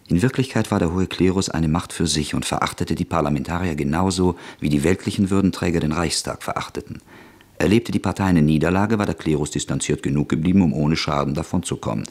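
Very deep, husky male narrator. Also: a little mellow. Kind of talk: literary scripted narration for a radio play.

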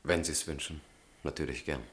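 Young student conspirator: very low, breathy voice, weighty and measured, quietly resolved radio drama. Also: male voice, fairly even intonation, no room sound, full character voice. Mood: formal, deferential. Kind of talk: German radio play acting.

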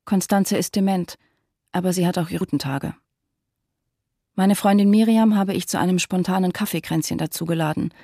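An actress playing a watchful, suspicious wife who narrates tersely in a radio drama. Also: female voice, lowish voice, moderate pace, dark tone, no echo, clean studio sound. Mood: matter-of-fact. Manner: terse, observant. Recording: music under the voice.

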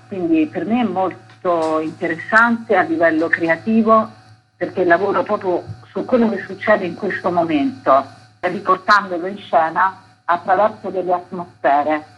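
A woman's voice: fairly low pitch, measured pace, lo-fi sound, soft background music. A woman being interviewed on radio as an author and actress, describing her stage work.

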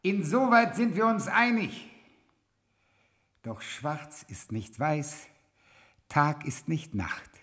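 A shrewd old troll king holds court in a classic radio drama. His voice is bright and a little raised, and he talks slowly, weighing every word like a ruler laying down the law. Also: a little high, big pitch swings, exaggerated voice. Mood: lecturing. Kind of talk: classic radio play acting.

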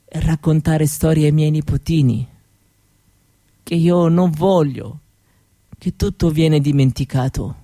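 A woman playing an old Roma grandmother in a radio monologue, gentle and wistful, speaking halting broken Italian with a Romani accent in short phrases with pauses. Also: fairly low pitch, no room sound, studio quality. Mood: wistful longing. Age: older.

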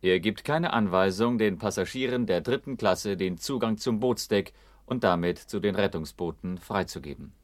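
Actor playing stern male inquiry narrator, low pitch, dry studio sound, terse, formal, documentary radio play. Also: normal speed, no echo, natural voice. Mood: stern, accusing.

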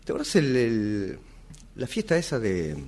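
An actor in a radio theatre exercise playing a nervous, hesitant husband, stammering and repeating himself with an Argentine accent. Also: male voice, medium pitch, huge pitch swings, a little slow, a little mellow. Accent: Argentine, Rioplatense. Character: nervous husband haltingly confessing an old affair. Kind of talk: radio theatre workshop acting.

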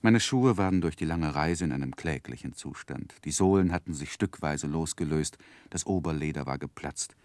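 An actor playing an earnest grown man who narrates his boyhood memoir in measured, reflective literary style for a 1960s radio drama. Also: rumbling low, darkish tone, no room sound.

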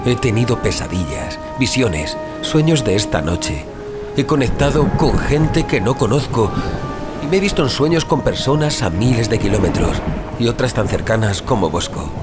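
A man plays a haunted young rebel turned leader in an audio drama, with a Spain accent. His low voice moves expressively and confides in rough, colloquial phrases. Prominent music plays behind him. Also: big pitch swings, moderate pace. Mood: confiding, haunted. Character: Iker, earnest reformed troublemaker, haunted survivor and leader. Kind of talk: Spanish audio fiction drama acting.

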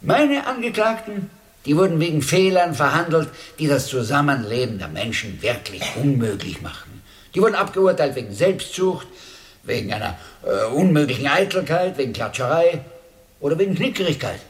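A man acting an eccentric, urbane former judge who muses aloud with deliberate hesitations in a radio play monologue. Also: fairly high pitch, sing-song. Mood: quietly proud. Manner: deliberate, musing, with hesitations and rhetorical pauses. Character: eccentric former judge, urbane, reflective, quietly amused at himself. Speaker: radio drama actor.

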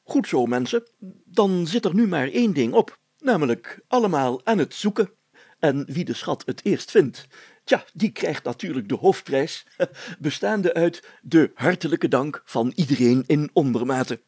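Pompous, high-pitched, excitable village mayor. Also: male voice, husky, no room sound, exaggerated voice. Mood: commanding. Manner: pompous, declamatory, drawn-out solemn phrasing.

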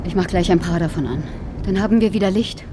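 An actress in a radio drama playing an anxious, caring older sister with a guilty streak, speaking naturalistically and intimately. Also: female voice, fairly low pitch, quick pace, heavy background noise, close to an everyday voice. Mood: nervous. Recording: music behind the voice. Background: music.

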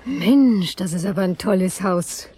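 Woman acting down-to-earth wife, dry wit, colloquial, middle-pitched, radio crime play, faint background noise. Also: animated, measured pace, mellow, natural voice. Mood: impressed, chatty. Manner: colloquial, dryly teasing. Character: down-to-earth, dry-witted wife driving her husband.

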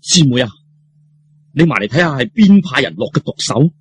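A man's voice: medium pitch, sing-song, moderate pace, a little hiss or hum, close to an everyday voice. A man with a Cantonese accent playing a courteous, startled swordsman in an audiobook, asking earnest questions. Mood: concerned. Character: startled, courteous middle-aged male swordsman.

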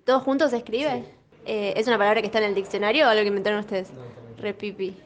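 A woman's voice: mid-range voice, fairly even intonation, fast talker, highs cut off. A woman answering casually as a vox pop interviewee. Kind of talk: casual chat. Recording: crowd noise around the voice.

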